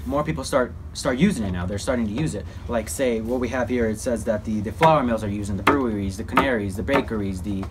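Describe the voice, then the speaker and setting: A man's voice: fairly low pitch, quick pace, hardly any pauses, background noise. A man giving an informal mock news report in a folksy, rambling, repetitive way. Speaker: presenter in a student history project.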